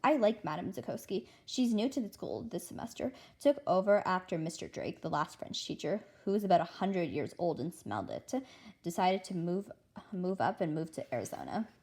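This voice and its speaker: A woman narrating a children's novel aloud in an expressive, dramatic style, now and then stumbling over words. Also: on the low side, normal speed. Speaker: read-aloud host.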